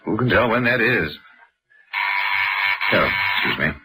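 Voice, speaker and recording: A man's voice: on the low side, sing-song, a little slow, heavy background noise. A man in a vintage radio drama playing a polite, inquisitive investment man, courteous and probing. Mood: smooth probing.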